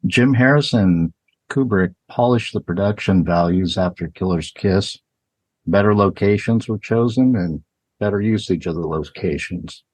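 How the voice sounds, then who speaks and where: Deep, husky man reading notes. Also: no echo, low-quality recording. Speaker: film discussion panelist.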